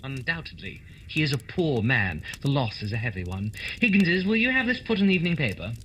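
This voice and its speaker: A man playing a coolly superior gentleman detective in a radio play, precise, theatrical and wry. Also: mid-range voice, sing-song, brisk, slight background noise, rough recording. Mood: brisk. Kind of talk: holiday radio play acting.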